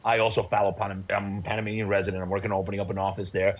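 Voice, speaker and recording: A blunt, opinionated man talks rapidly through a casual interview. His voice is husky and sits a little low, and he piles one thought onto the next. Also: completely flat delivery. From United States. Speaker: real estate agent, guest.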